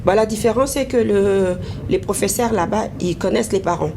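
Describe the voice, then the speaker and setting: A woman's voice: medium pitch, moderate pace, gravelly. A Congolese woman, an interview guest, answering in a hesitant, casual way with many fillers. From Congo (DRC). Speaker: interview guest, business owner in humanitarian work. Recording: noisy TV interview recording.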